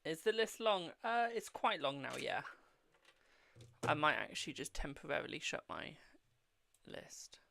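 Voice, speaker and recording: Chatty British woman with a gravelly voice. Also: low voice, big pitch swings, a little slow, a bit bright. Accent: British English. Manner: chatty, hums to herself, calls out to family. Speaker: tarot reader livestream host. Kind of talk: casual livestream chat. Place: home room. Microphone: cheap webcam mic.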